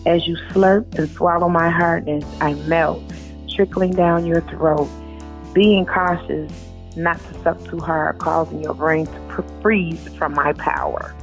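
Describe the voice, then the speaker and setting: A woman's voice: deep voice, creaky. A woman author speaking informally as a guest, recorded in dull lo-fi audio with music behind her. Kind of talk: reading aloud and informal interview talk.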